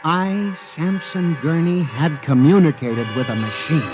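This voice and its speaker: An actor playing an obsessed, fearful office clerk, narrating in an earnest, theatrical 1950s radio drama manner. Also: male voice, a little high, expressive, unhurried. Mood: awed, ominous. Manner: intense, earnest, formal, theatrical. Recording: 1950s radio broadcast with music behind.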